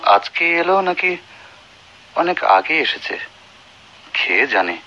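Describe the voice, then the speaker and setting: A man's voice: fairly high pitch, big pitch swings, slow, music in the background, heavily acted. A man playing a proud, weary doctor in a Bengali radio play, speaking formally, by turns brusque and musing. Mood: musing, puzzled. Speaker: radio drama actor. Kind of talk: dramatic radio play dialogue and soliloquy. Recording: noisy, muffled old radio drama recording.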